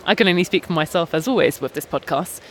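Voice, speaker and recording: A British man hosting a podcast outdoors, talking in a measured, articulate way with small hesitations. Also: a little high, a little hiss or hum. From Britain. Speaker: podcast host and journalist. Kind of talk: casual podcast talk. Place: outdoors in a busy town square.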